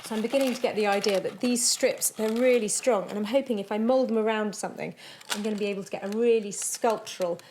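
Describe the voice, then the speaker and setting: A woman's voice: medium pitch, fast talker, a little mellow, a little hiss or hum. A woman presenting casually, thinking aloud as she works through a craft job. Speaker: upcycling TV show presenter. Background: music.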